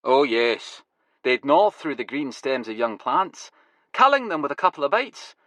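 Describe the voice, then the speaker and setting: A man voicing an excitable older grower with a raspy Glasgow accent, eager and proud of his farm. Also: medium pitch, unhurried, no room sound, pitched above the performer's own voice. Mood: grim. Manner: eager, wild-eyed, proud.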